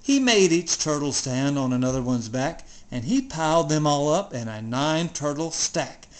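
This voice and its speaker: A storyteller giving an expressive, dramatic reading of a rhyming children's story. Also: mid-range voice, normal speed. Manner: theatrical, expressive.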